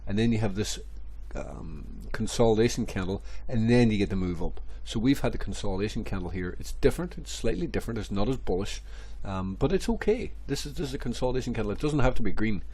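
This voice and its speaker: A man, hoarse and stuffy from a cold, rambling through crypto chart analysis with many repeats and fillers. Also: lowish voice, animated, fast, hardly any pauses, mellow. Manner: rambling, repeats phrases, many ums and restarts. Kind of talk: casual screen-share market commentary.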